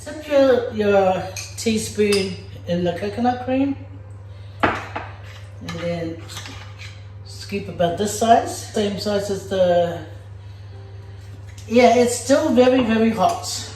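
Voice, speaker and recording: A woman from Samoa hosts a home cooking vlog and chats playfully with her viewers as she works. She speaks at an unhurried pace. Her voice bounces around a roomy, echoey home kitchen. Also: mid-range voice, expressive, warm tone, a little hiss or hum. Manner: chatty and playful, talks straight to viewers as "guys". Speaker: cooking vlogger. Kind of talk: casual cooking demonstration. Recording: echoey room.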